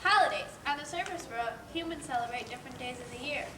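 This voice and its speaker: A woman's voice: sits high, expressive, fast talker, sharp tone, far from the mic. A woman playing an earnest, eager young girl crab in a children's stage play. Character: earnest young female crab, dreamy holiday lover. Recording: very echoey, noisy hall from afar.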